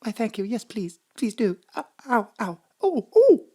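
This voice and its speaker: High, swooping melodramatic lady lover. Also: very animated, a little slow, mellow. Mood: flustered, pained. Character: flustered melodramatic woman meeting her secret lover. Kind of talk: parody of old romantic drama.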